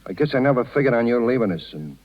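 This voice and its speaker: An actor playing a loyal, wounded circus man with folksy, halting, ungrammatical speech in a 1930s radio drama. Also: mid-range voice, very animated. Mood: wounded, resigned. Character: plain-spoken circus man, loyal, wounded, protective of Polly. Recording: muffled 1930s radio broadcast with long reverb.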